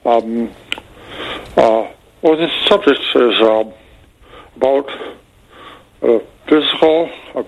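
A man calling a radio show, halting and hesitant as he searches for words, with effortful articulation from a speech impediment. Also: mid-range voice. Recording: slightly dull, band-limited radio call.

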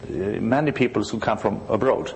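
Gravelly Swedish man groping for English words. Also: on the low side, normal speed, a little hiss or hum. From northern Sweden. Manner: searches for words, many restarts. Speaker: church musician, interview guest. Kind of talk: conversational interview, halting English.

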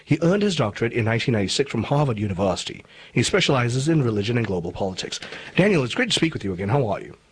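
A man's voice: mid-range voice, big pitch swings, rapid-fire, a little hiss or hum. A man from Malaysia hosting a radio interview show, speaking in a formal, articulate and emphatic way, with commentary. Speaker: talk show host.

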